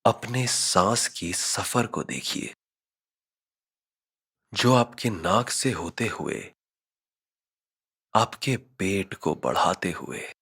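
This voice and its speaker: Guy doing guided meditation, super slow step by step instructions, bright forward voice but kinda raspy. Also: low-pitched. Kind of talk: scripted guided meditation narration.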